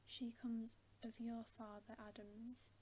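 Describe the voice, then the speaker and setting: A woman's voice: a little high, level tone, takes it slowly, dark tone, slight background noise. A woman voicing a stern, earnest male talking beaver in a storybook, in an emphatic whisper. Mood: grave, warning. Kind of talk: whispered storybook character reading.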